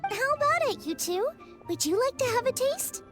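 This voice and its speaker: A woman's voice: very high-pitched, big pitch swings, normal speed. A woman voicing a friendly, eager teenage girl chef in a game, upbeat and cheerful. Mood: cheerful, inviting. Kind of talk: animated game voice acting. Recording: clear music bed behind voice.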